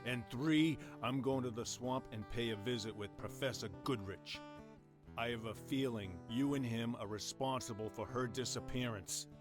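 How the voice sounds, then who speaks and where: A man playing a gruff, defiant family man in an audio drama, speaking in a blunt, stern, confrontational way. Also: mid-range voice, normal speed, over music, natural voice. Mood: angry, determined.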